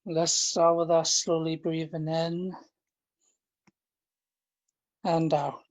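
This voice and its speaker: A man leading a guided relaxation, speaking in a gentle, informal and halting way, often repeating his phrases. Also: fairly high pitch, level tone, rough recording. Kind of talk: guided relaxation, meditation instruction.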